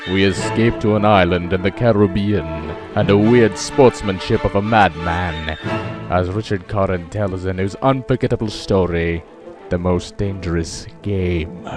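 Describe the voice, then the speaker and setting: A man delivers dramatic scripted introductions as an old-time radio announcer. His voice sits fairly low, and music plays behind him.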